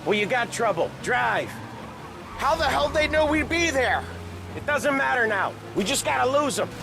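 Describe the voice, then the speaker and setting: An actor playing a menacing, quick-tempered American gangster in a video game, terse and bossy in his orders. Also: very high-pitched, expressive, creaky, over music, heavily acted. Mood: menacing, curt. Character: commanding middle-ranking mobster, menacing, curt and quick-tempered. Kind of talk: cinematic game voice acting.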